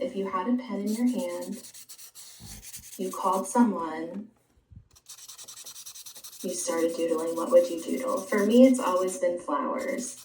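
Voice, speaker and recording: Female art instructor, gentle and chatty, live-stream audio with room echo. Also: medium pitch, far from the mic, slight background noise. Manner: soft-spoken, chatty, answering viewers while drawing. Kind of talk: live online art class.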